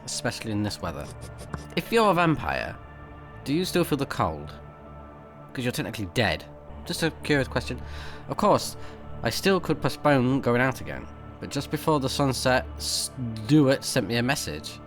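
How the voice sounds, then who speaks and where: A man recording a let's play, switching between casual off-the-cuff commentary and reading game text aloud. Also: medium pitch. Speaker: let's player, game streamer.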